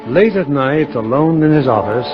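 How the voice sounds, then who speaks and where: A man's voice: medium pitch, animated, moderate pace. A man announcing and narrating a radio drama in scripted 1950s style. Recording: muffled vintage radio, music behind.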